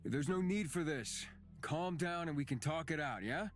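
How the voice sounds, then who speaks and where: A man playing a level-headed, wary companion in a fantasy visual novel, speaking in a measured, calm way. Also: on the high side, faint music behind, natural voice. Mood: placating.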